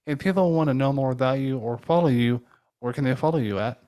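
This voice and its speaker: Woman, extremely low pitch, casual podcast host, conversational delivery. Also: quick pace, warm tone.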